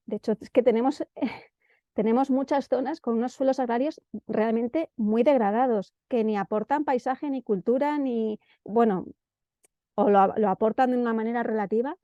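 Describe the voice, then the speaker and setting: Mellow Spanish woman presenting, slightly muffled. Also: on the high side, no echo. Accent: central Spanish. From Madrid, Spain. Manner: hesitant, searching for words, repeating words for emphasis. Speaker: presenter of an urban planning guide. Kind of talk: informal spoken presentation. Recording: dull, lo-fi dry audio.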